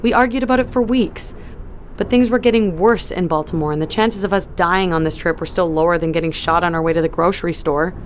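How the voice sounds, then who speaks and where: A woman's voice: fairly low pitch, a little fast, slight background noise, lightly acted. An actress playing a stubborn young woman on a space crew, blunt and defiant, who swears when pushed. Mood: determined. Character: young woman crew member, stubborn, worried about fiancé. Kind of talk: audio drama acting.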